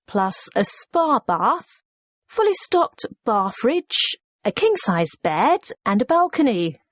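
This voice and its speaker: A woman's voice: fairly high pitch, sing-song, takes it slowly, dry recording, exaggerated voice. A woman playing a courteous, efficient hotel receptionist in a scripted listening-test dialogue, formal and helpful.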